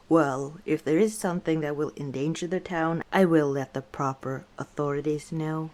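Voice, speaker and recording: A woman playing a guarded, gently wry orc tavern owner in an intimate ASMR roleplay, speaking reflectively with hesitant pauses. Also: low-pitched, steady tone. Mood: wry, easygoing. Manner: unhurried, reflective, hesitant pauses, soft chuckles.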